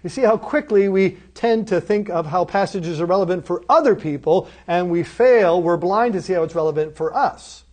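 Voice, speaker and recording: A man's voice: high voice, normal speed, few pauses, darkish tone. A man giving a lecture on preaching. He talks in an earnest, teacherly way and often asks the listeners rhetorical questions. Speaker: seminary lecturer.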